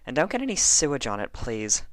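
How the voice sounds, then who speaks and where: Man putting on a brisk, bossy, high-voiced mare. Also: huge pitch swings, no echo. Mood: scolding. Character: practical, scolding, caring female pony housemate.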